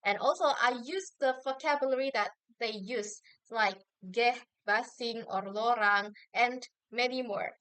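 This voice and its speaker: A young woman, a university student explaining answers in a measured way with occasional hesitant restarts. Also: a little high, a bit bright, smooth, no room sound. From Indonesia. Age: young adult. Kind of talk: answering assignment questions on video.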